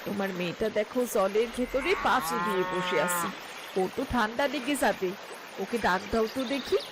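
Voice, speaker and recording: A woman voicing a worried middle-aged mother and landlord's wife in a cartoon story, husky and fretful. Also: mid-range voice, normal speed, very noisy, close to an everyday voice. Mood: fretting. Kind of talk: Bengali cartoon story acting.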